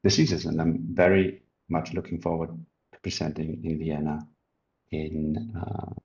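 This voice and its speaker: A man's voice: a bass voice, fairly even intonation, unhurried, darkish tone, highs cut off. A man in his thirties from Austria with an Austrian German accent, earnestly explaining his immunology research with many ums and uhs. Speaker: immunology researcher, group leader. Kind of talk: self-introduction explaining research.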